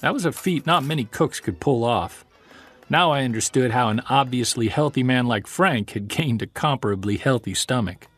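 An actor playing a wry, observant podcast investigator, narrating and interviewing in a measured, scripted style. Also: male voice, mid-range voice, a bit bright, natural voice.

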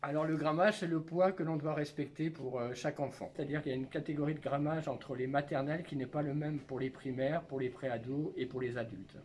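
Man in his fifties, school cook, plain patient explaining, slightly raised pitch, faint hum behind. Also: fairly even intonation, a little fast, few pauses, darkish tone. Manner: plain, patient, with many fillers. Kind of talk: explaining his work to children. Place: school canteen kitchen and dining room. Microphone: camera held by children nearby.

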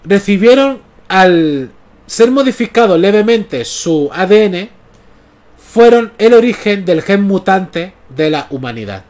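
Chatty Canarian man, high-pitched. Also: big pitch swings, takes it slowly, darkish tone. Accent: Canarian Spanish. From Canary Islands. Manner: chatty, often checks in with "¿vale?". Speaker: comics streamer. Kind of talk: casual livestream commentary. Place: small room at home, indoors. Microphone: gaming headset mic.

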